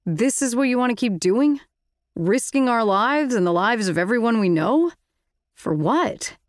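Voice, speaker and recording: A woman's voice: a little high, animated, a little slow, dry recording, studio quality, pitched above the performer's own voice. A woman voicing a sarcastic, wisecracking teenage boy in a storybook reading. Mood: sour. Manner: sarcastic, mocking.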